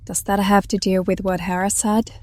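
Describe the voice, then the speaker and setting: A woman's voice: medium pitch, little pitch movement, moderate pace, dry recording, natural voice. An actress playing a teasing, sardonic, hard-drinking female hireling who coaxes her companion in an audio drama.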